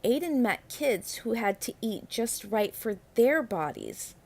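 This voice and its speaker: Slow-paced woman reading a children's storybook. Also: fairly high pitch, sing-song, gravelly. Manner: deliberate, emphatic phrasing with drawn-out key words. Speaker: narrator reading a children's picture book aloud. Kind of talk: expressive children's read-aloud storytelling.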